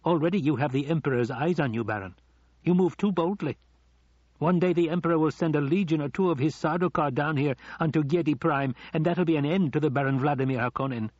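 A man's voice: fairly quick, darkish tone, dry recording, lo-fi sound, lightly acted. A man voicing a venomous, sullen advisor in an audiobook, with a sneering, muttered delivery. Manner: low, muttered, insinuating delivery.

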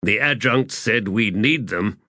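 A man's voice: lowish voice, lively intonation, measured pace, sharp tone, no echo, studio quality, full character voice. A man doing Gessler, a crude sardonic sergeant. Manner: coarse soldier slang, sneering. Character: gruff male marine sergeant, sardonic and crude.

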